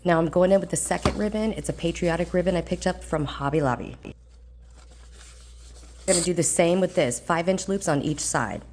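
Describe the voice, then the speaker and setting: Low-pitched woman, a bit congested, walking viewers through a craft project calmly, faint room hum behind. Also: moderate pace. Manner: calm, plain, practical explaining. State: slightly congested voice. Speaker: craft tutorial host. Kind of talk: step-by-step craft instruction.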